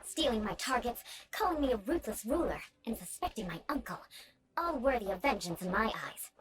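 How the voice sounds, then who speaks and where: Haughty young noblewoman for a game: formal, imperious, ornate, highly animated delivery. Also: a little fast, crowd noise, poor audio. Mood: cool, stern. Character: haughty young noblewoman, prim, vengeful, awkwardly grandiose. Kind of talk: video game voice acting. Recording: game music behind the voice.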